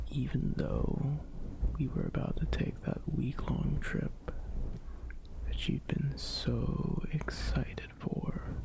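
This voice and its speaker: A man as a slow, whispering, tender boyfriend. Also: mid-range voice. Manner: soft, breathy whispering, gentle and deliberate. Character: tender, adoring boyfriend comforting a sad partner. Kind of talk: ASMR roleplay, whispered.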